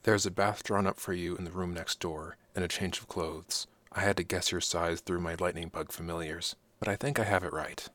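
Woman voicing a deep-voiced kind old male wizard, husky, hushed and gentle. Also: fairly even intonation, no room sound. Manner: soft, slow and gentle, often near a whisper.

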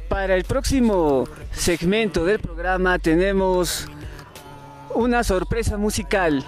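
A man presents as a podcast host in a scripted style. His voice is high for a man and his delivery is slow, over faint music.

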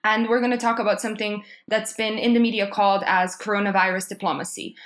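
A young adult woman from Serbia presenting a prepared talk on world affairs, measured and with frequent ums. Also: medium pitch, little pitch movement.

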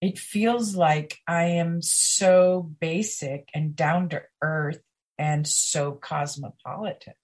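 A woman guest on a podcast, talking slowly, emphatically and intimately, with pauses. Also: low-pitched, fairly even intonation, a little mellow. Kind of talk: conversational podcast interview.

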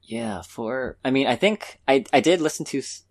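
A man's voice: medium pitch, expressive, normal speed. A man co-hosting a podcast in casual chat about anime, with a tentative, hedging way of talking. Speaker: podcast co-host.

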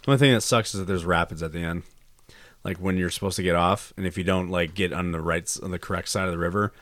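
Crude, rambling man with a very deep voice. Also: steady tone, fast, fairly bright tone, dry recording. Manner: crude, rambling, swears a lot. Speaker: podcast host. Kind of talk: casual chat. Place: indoor room recording a podcast. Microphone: studio mic he monitors himself.

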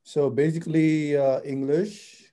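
A man's voice: fairly high pitch, level tone, measured pace, warm tone. A man in his twenties from Nigeria, a native Yoruba speaker, chatting casually as an interview guest. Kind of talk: casual chat.

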